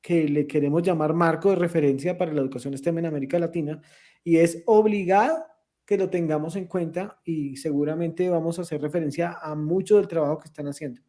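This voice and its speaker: Mellow-voiced woman host. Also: deep voice, steady tone, brisk. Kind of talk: interview host.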